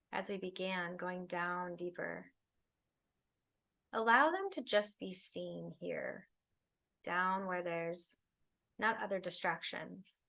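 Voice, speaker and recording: Female meditation guide, slightly low register with plenty of vocal fry, soothing and unhurried delivery. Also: fairly bright tone. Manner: soothing, unhurried phrases with long pauses. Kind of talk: guided meditation, spoken slowly to listeners.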